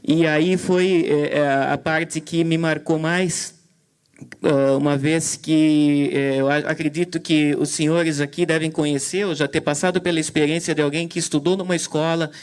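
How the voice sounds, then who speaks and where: Male moderator, hesitant delivery, fillers and restarts, slightly higher pitch. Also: level tone, normal speed, smooth. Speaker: event moderator. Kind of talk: commentary on a talk.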